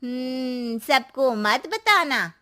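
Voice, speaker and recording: A woman acts out a middle-aged neighbour aunty in a moral story. Her voice is raised and high, falsely friendly and drawn out, with a sly, prying manner. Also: animated, very slow, pushed and bright, exaggerated voice.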